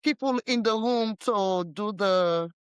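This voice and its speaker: A woman with a deep, projecting voice hosts a relaxed conversational interview. She speaks haltingly, breaking off phrases and starting over. The sound is dry and close to the mic. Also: clean tone, dry recording. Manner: halting, with many broken-off phrases. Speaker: interviewer, host.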